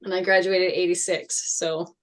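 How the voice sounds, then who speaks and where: A woman's voice: on the low side, flat delivery, unhurried, a bit bright, rough recording. A woman in her fifties chatting casually and reminiscing in a group discussion. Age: 50s. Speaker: table read participant.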